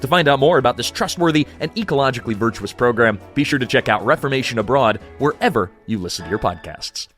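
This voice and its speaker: A man's voice: medium pitch, animated, fast, few pauses, fairly bright tone, faint music behind. A man reading a comic promo in a mock-earnest tone. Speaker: promo narrator.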